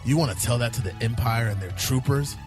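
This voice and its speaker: A man playing a world-weary, cynical police detective in a fan-made sci-fi noir audio drama, terse and deadpan. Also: medium pitch, a little fast, music in the background, close to an everyday voice. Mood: threatening. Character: world-weary hard-boiled male police detective in space.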